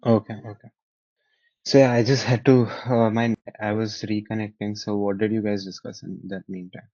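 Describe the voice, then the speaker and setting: A man's voice: fairly low pitch, level tone, moderate pace, mellow, no echo. Man, co-host, brief, matter-of-fact, video call, dry muffled audio. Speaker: consultant co-host. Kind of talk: casual consulting call.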